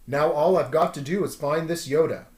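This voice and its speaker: A man's voice: fairly high pitch, moderate pace, reverberant, lightly acted. Man doing an impatient young hero. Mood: uneasy. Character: eager, impatient young male hero in training.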